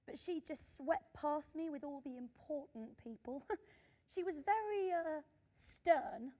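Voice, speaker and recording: A woman's voice: high-pitched, animated, a little slow, dark tone. An actress playing a modest, cheeky old woman who was once a singer, chuckling and plain-spoken in a radio drama. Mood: cheeky, dismissive. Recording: long hall-like reverb.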